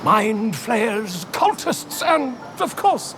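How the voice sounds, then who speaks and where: An actor playing a pompous, excitable self-styled monster scholar in a fantasy video game, speaking in a florid, self-important, theatrical way. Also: male voice, sits high, very slow, noisy background, exaggerated voice. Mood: jovial, grand.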